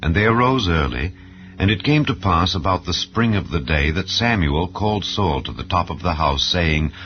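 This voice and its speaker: A man with a very low voice reads scripture as a formal, solemn narrator. The sound is slightly dulled, and quiet instrumental music plays behind him. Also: lively intonation, moderate pace, dull audio. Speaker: audio Bible narrator. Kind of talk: scripted dramatized scripture reading. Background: soft instrumental background music.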